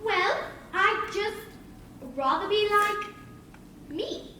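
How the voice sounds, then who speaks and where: A woman's voice: very high voice, extremely slow, sharp tone, full character voice. An actress playing a spirited, defiant young girl in a theatrical stage play, earnest and indignant. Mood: dreamy, earnest. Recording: echoey stage recording, distant mic, faint noise.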